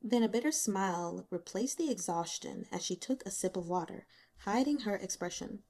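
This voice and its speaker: A woman reading a novel aloud as expressive scripted narration. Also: mid-range voice, normal speed. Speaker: audiobook reader.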